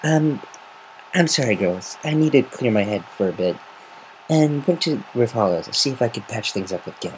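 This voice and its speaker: A man's voice: mid-range voice, lively intonation, moderate pace, slight background noise, close to an everyday voice, poor audio. A man voicing a cocky tomboy female pegasus pony, casual and snarky with nervousness underneath. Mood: sheepish.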